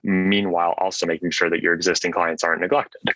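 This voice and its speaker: A man with a very low voice and a bright, forceful edge talks casually as an interview guest. He is chatty and self-deprecating, often checking in with "right?", and the sound is close and dry. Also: flat delivery, quick pace, no echo, poor audio. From Switzerland. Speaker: podcast guest, solo business owner.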